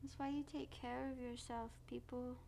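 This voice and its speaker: A woman's voice: high voice, flat delivery, moderate pace, gravelly, warm tone, slight background noise. A woman co-hosting a podcast, teasing and playful in casual chat.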